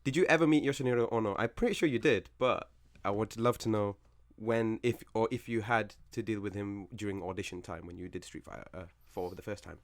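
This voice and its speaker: A man's voice: fairly low pitch, fairly quick, few pauses, no echo. A man hosting an interview, asking casual questions and giving short encouraging replies. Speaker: interview host.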